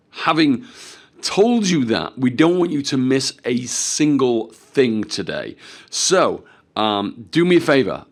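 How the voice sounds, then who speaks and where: A man hosting a live webinar, his voice a little croaky as he recovers from flu, talking to the audience in an upbeat, salesy way. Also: mid-range voice. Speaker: webinar host.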